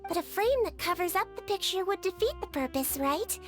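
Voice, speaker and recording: A tiny, sweet melusine nurse from a video game speaks in an extremely high, childlike voice. She is earnest and polite, soothing and caring in how she talks. Soft music plays behind her. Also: lively intonation, brisk. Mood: reassuring. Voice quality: childlike, girlish. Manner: gentle, earnest, polite, reassuring. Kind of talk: video game character voice lines. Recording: studio voice over game music.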